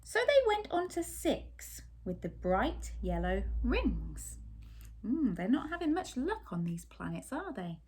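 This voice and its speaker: A woman's voice: fairly high pitch, wildly swooping pitch, slow pace, a little mellow, husky. A woman reading a picture book aloud expressively, putting simple questions and explanations to young children. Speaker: children's story reader.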